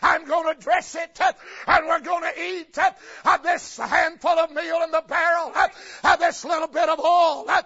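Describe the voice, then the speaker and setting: Poor widow lady out of food, a shouting preacher puts her on, high and bright, lo-fi. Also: steady tone, moderate pace, vocal fry, few pauses, heavily acted. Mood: resigned. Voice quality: shouted, punctuated by uh. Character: poor widow woman facing starvation. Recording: lo-fi recording with faint congregation.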